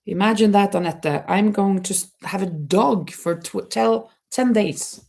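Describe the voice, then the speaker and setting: Norwegian lady chatting on her live from home, slow and gravelly, laughs a lot. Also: mid-range voice, darkish tone. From Norway. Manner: chatty, laughs often, restarts words. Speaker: livestream host. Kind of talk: casual chat reading viewer comments. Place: home, near a terrace.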